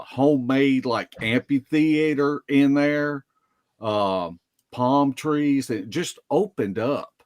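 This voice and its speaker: Slow-talking man, dry close sound. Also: medium pitch, no echo. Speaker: guest, Bigfoot field investigator. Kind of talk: casual storytelling in a live podcast interview.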